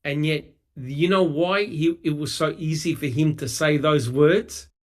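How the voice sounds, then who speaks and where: A man's voice: fairly high pitch, measured pace. A man co-hosting a podcast, talking heatedly and emphatically, swearing and mocking people with quoted lines. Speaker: podcast co-host. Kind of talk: casual true-crime discussion.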